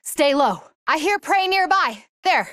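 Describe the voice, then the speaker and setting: An actress playing an alert, predatory huntress giving clipped tracking callouts in a video game. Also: female voice, high-pitched, big pitch swings, a little slow, a bit bright, dry recording, studio quality, exaggerated voice. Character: alert, predatory female hunter.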